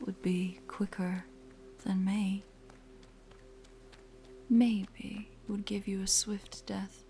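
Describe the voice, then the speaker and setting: A woman playing a predatory, teasing spider-woman monster in a horror audio roleplay, with a breathy, whispery voice and a taunting, menacing manner. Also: medium pitch, darkish tone. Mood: smug threat. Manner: slow, taunting, playfully sinister.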